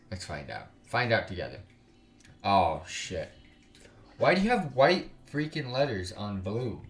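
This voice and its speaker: A man hosting a casual gaming live stream, chatting informally to viewers with slang and swearing. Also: low-pitched. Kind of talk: casual live-stream chat with game text read aloud. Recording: roomy room echo, music behind. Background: music.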